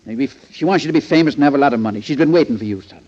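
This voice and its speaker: Man acting a quick-talking fatherly boxing trainer. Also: mid-range voice, mellow, natural voice. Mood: coaxing. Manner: pleading, coaxing, earnest. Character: anxious, fatherly older boxing trainer called Pop. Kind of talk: 1940s radio acting. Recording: muffled old 1940s radio recording.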